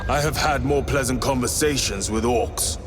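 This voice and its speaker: A man's voice: mid-range voice, moderate pace, music in the background, lightly acted. An actor playing a sardonic male armored super-soldier who makes dry, sarcastic remarks. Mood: stern. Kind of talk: video game cutscene acting.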